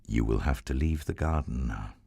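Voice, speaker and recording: A man voicing God as a loving, sorrowful father, speaking gently and earnestly. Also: rumbling low, normal speed, vocal fry, breathy edge, no echo, voice pushed down, heavily acted.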